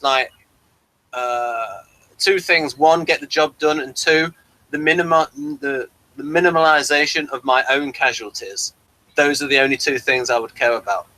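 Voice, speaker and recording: Bright, higher-pitched man, bluntly opinionated. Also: rough recording. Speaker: podcast reviewer. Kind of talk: casual opinionated discussion.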